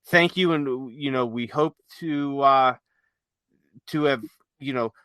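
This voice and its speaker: Bright-voiced man hosting a casual podcast. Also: medium pitch, slow, clean tone, dry recording. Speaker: podcast host. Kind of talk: casual unscripted chat.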